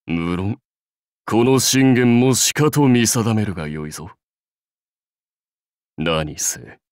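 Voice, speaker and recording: An actor playing a proud, seasoned samurai warlord in a game, speaking in stately, measured, archaic phrases with wry authority. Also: male voice, lowish voice, darkish tone, no echo, clean studio sound. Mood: resolute, solemn. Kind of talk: scripted game character lines.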